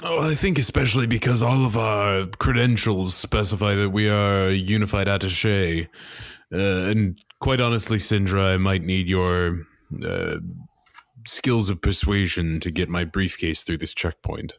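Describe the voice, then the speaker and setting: A man's voice: sits low, a little slow. A man playing a tabletop role-playing game, bantering in character with wordy, precise phrasing.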